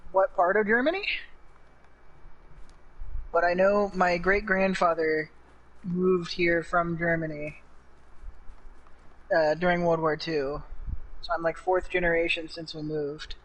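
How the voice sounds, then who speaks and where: A man's voice: on the high side, steady tone, slow pace, pauses often, a bit bright, rough recording. A man co-hosting a casual podcast, laid-back and hesitant, reading out chat questions.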